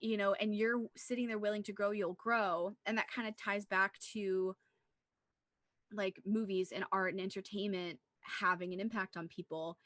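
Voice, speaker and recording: A woman's voice: mid-range voice, steady tone, moderate pace, fairly bright tone, dry recording, clean studio sound. A woman co-hosting a casual movie discussion, talking in an earnest, rambling way with plenty of "like". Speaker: podcast co-host.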